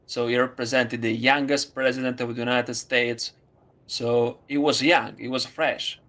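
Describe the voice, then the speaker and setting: Slow, halting Italian-accented man. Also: mid-range voice, steady tone, pushed and bright. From Italy. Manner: halting, many fillers and restarts. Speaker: student. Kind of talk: casual conversation.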